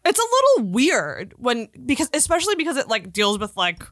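A woman co-hosting a podcast in casual chat, expressive and quick to swear. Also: a little high, no echo, clean studio sound. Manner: expressive, profane. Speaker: podcast co-host.

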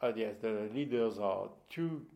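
A man from Bordeaux with a French accent, chatting as an interview guest in halting English and searching for his words. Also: on the low side, animated, vocal fry, mellow. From Bordeaux, France. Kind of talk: casual conversational interview.

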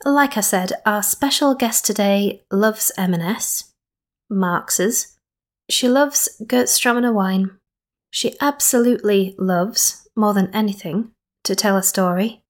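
A woman's voice: mid-range voice, takes it slowly. A young woman from Skipton, hosting and interviewing in a rambling style with playful asides. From Skipton, North Yorkshire. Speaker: podcast host. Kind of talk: chatty spoken introduction and interview.

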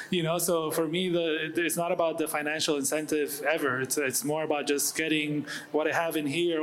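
A man speaking as a panelist, earnest and reflective in a steady flow. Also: a little high. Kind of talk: live panel discussion.

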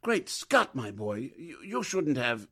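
A man playing a stuffy, blustering gentleman in a 1940s radio drama, with old-fashioned speech. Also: medium pitch, very animated, slow pace, breathy edge, full character voice. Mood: dismayed.

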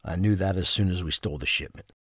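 An actor playing a tough, wary career criminal in a crime audio drama, speaking in a blunt, streetwise way. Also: male voice, low-pitched, fast talker, a bit bright, no echo, clean studio sound. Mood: reluctant, resigned.

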